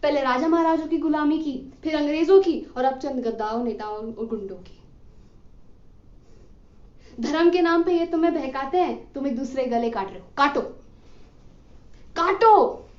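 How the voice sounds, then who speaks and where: High-voiced woman playing a bitter condemned revolutionary man. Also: moderate pace, pauses often, natural voice. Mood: contemptuous, accusing. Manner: scornful, impassioned, declamatory with sarcastic commands and rhetorical questions. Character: bitter condemned male revolutionary scolding an apathetic crowd. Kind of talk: theatrical dramatic monologue. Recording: muffled lo-fi echoey room recording.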